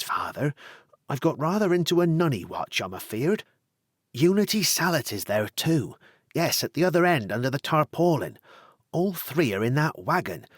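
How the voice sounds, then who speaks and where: A man's voice: mid-range voice, slightly rough, no echo, studio quality, voice pushed up. A man voicing a flustered young farm lad who talks in a rustic country dialect.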